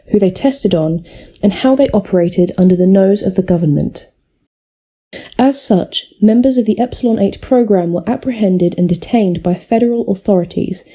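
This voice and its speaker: A woman playing a grave, formal news anchor reading a scripted broadcast in a measured way. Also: medium pitch, mellow, breathy edge, natural voice.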